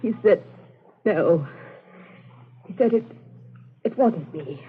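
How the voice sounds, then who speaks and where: An actress playing a frightened, distraught daughter who speaks in halting, pleading repetitions in a 1940s radio drama. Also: female voice, on the low side, lively intonation. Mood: halting, tearful. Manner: pleading, halting, repeats herself. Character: distraught, frightened daughter confessing to her stern father. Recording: noisy, tinny 1940s radio broadcast.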